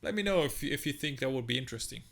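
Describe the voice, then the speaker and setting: A man's voice: medium pitch, animated, very fast, creaky, crowd noise. A young man from Bosnia reacting casually to a video, laid-back and swearing freely.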